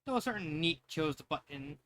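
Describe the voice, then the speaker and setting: A man's voice: a little high, expressive, brisk, fairly bright tone, slight background noise. A man reading a story aloud in a loose, jokey way, often breaking off into casual asides. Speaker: fanfiction reader.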